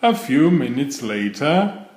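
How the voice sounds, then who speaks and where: A man reading a children's bedtime story aloud in a deliberate, expressive storybook manner. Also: medium pitch. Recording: roomy, echoing voice recording.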